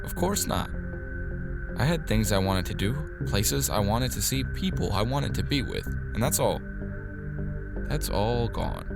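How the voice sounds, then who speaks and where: A man acting in an audio drama as a world-weary, resigned colonel, with a measured, deliberate, rhetorical delivery. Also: medium pitch. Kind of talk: theatrical monologue in audio drama.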